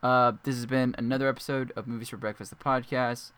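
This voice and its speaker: A man hosting a casual movie podcast, loud and jokey, laughing often. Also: mid-range voice, flat delivery, fast talker, slight background noise. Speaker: podcast host.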